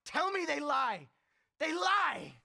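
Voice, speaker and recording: An actor playing an ailing, tyrannical old dictator in a radio drama, imperious and demanding, with a raspy voice. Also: male voice, extremely high pitch, big pitch swings, slow pace, full character voice. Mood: defiant. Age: older. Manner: imperious, demanding, erupting from brooding into shouts.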